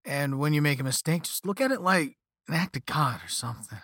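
A man's voice: a little high, lively intonation, a little fast, fairly bright tone, no echo, studio quality. A man voicing a flippant, easygoing young rich slacker in a story, with a casual slangy drawl and sarcastic half-apologies. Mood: smug, placating. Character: flippant, laid-back young rich slacker on a phone call.

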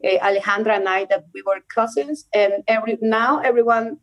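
A woman's voice: a little high, a little fast, poor audio. Young venezuelan woman guest, spanish native, casual chat in english, laughs a lot. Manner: laughs often, restarts sentences in careful non-native English. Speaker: guest, rock climber.

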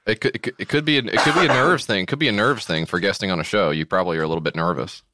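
A man's voice: on the low side, very fast, background noise. A man hosting a casual podcast chat, teasing guests with joking asides and stumbling restarts. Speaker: podcast host.

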